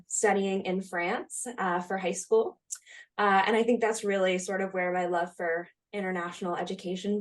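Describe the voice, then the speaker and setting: A woman's voice: medium pitch, recorded from a distance. A young woman from Colorado, an admissions counselor hosting a university Q&A panel in an upbeat way, with frequent uh fillers. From Colorado, USA.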